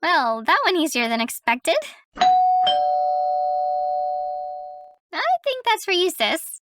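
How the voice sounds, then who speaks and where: A woman's voice: very high voice, sing-song, moderate pace, heavy background noise. A woman voicing a perky thirteen-year-old cartoon babysitter with a teasing, matter-of-fact manner.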